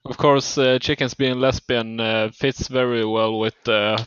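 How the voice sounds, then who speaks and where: Slow, deadpan man with dry jokes. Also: mid-range voice, clear, resonant tone, no room sound. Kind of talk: casual chat. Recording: dull, dry lo-fi recording.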